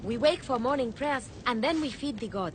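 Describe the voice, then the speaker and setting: Girl actor playing a dutiful temple priestess in a game, high pitched, plain delivery, slight rumble behind. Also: expressive, moderate pace, natural voice. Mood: dutiful, calm. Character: dutiful young temple priestess, serene and devout.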